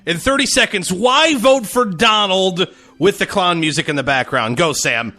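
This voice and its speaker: A man hosting a talk show who sets up bits for his co-host in a playful way. His voice is fairly high, lively and bright, with faint music behind it. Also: moderate pace. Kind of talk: radio-style talk show.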